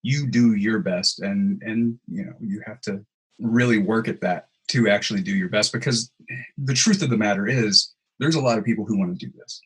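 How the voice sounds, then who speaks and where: A man's voice: lowish voice, moderate pace, fairly bright tone, slightly rough, low-quality recording. A man hosting a podcast interview, agreeing often and asking long, run-on questions. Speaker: podcast host. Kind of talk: casual interview chat.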